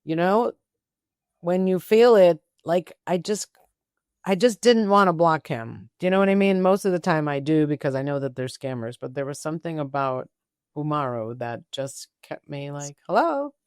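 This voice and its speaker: A woman's voice: low-pitched, expressive, normal speed, a little mellow, clear, resonant tone, no echo, clean studio sound. A woman hosting a casual interview, talking informally and thinking aloud as she goes. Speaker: interview show host.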